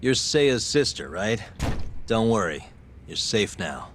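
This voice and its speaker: A man's voice: lowish voice, big pitch swings, slow pace, noisy background. A man acting a tough, resolute investigator in a video game drama, speaking bluntly and with self-assurance. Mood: cool, defiant. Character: tough, resolute male investigator, cool and protective.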